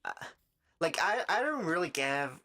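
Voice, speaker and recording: A streamer with a raspy, dry-throated voice, chatting casually in a halting way and often breaking off mid-thought. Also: mid-range voice, very animated, pushed and bright. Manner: halting, self-interrupting, trailing off mid-thought. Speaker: live streamer. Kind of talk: casual rambling chat while gaming, giving art advice.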